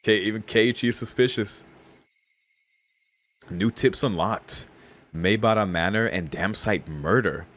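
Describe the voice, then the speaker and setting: A man's voice: fairly low pitch, measured pace, long pauses, a bit bright. A young man giving casual game commentary as a streamer, musing in a laid-back, slangy way and reading text aloud. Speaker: streamer playing a visual novel.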